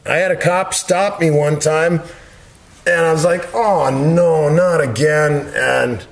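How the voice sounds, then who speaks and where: A man's voice: a little high, slow, vocal fry, a little hiss or hum. A middle-aged man telling a story casually and informally, rambling along in a matter-of-fact way. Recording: roomy echo on the voice.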